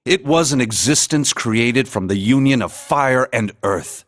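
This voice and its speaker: A grave lore teller in a game cutscene, dramatic, voice rises and dips a lot, dry recording. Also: male voice, medium pitch, lively intonation, unhurried, a bit bright, no room sound. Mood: grave, portentous. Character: grave man recounting ancient lore with gravitas. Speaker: storyteller in a video game cutscene. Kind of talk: scripted narration of a legend.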